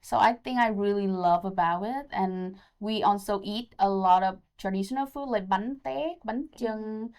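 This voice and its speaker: A woman's voice: medium pitch, moderate pace, hardly any pauses, darkish tone. A woman in her twenties from Vietnam, speaking English with a Vietnamese accent as a pageant candidate, a little nervous but eager. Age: 20s.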